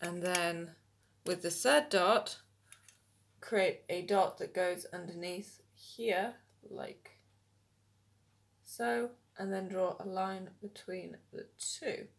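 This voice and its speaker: A young woman, slightly hoarse from a cold, talks through a makeup look step by step in a relaxed way with many ums, filmed at home by a camera in front of her. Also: lowish voice, slow. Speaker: makeup vlogger. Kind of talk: makeup tutorial. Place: small indoor room at home.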